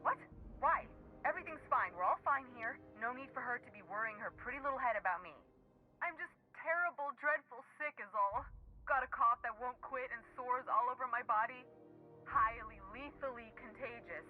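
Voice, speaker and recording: An actress playing a cranky, evasive patient over an intercom in a video game, grumbling in wordy, melodramatic fashion. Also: female voice, on the high side, lively intonation, normal speed. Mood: defensive, melodramatic. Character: cranky, evasive patient feigning a dreadful illness. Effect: radio intercom filter. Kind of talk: video game character dialogue. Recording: tinny, band-limited intercom over music.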